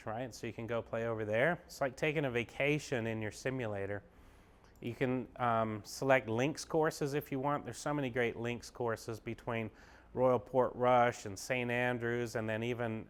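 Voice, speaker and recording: A man giving a casual, upbeat walkthrough of an indoor golf simulator bay, explaining each screen as he clicks through it. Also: mid-range voice. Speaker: golf pro giving a tour.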